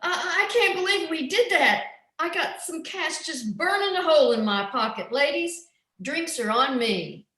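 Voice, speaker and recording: A brassy, foul-mouthed old widow who never minces words, as a woman reads her. Her voice is high, bright and swoopy. The recording sounds echoey and set back from the mic. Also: expressive, unhurried, recorded from a distance, exaggerated voice. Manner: wisecracking and blunt.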